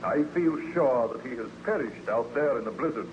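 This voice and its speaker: A man acting a steady ship's captain in a vintage radio play. He has a medium-pitched voice with a formal, protective manner. The recording is dull and noisy. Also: moderate pace, lightly acted. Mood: reassuring. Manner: formal, reassuring, earnest. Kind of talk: old-time radio drama acting.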